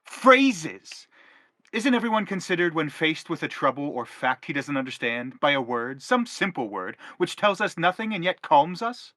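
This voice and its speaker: A man's voice: on the high side, sing-song, moderate pace, sharp tone, no echo. A man playing an earnest, self-justifying father in a stage drama, speaking in an emphatic, declamatory way. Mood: defensive. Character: earnest, self-justifying father pleading his family's case. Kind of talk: theatrical stage play acting.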